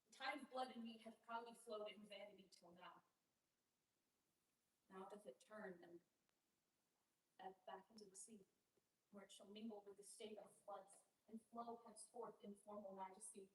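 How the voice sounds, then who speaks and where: A woman playing a stern, proud young king in a staged Shakespeare reading, with formal, measured declamation. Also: mid-range voice, steady tone, lightly acted. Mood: solemn. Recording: echoey room, distant mic, faint hiss.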